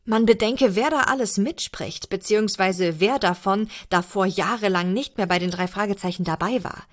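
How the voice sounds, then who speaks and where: A woman's voice: mid-range voice, normal speed. A woman reading an email aloud as a guest reader.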